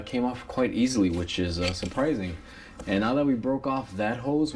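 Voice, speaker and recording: Raspy man with a cold, rambling. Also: fairly low pitch. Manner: relaxed, rambling with many uh fillers. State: has a cold. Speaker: mechanic demonstrating a repair. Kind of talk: casual how-to tutorial narration.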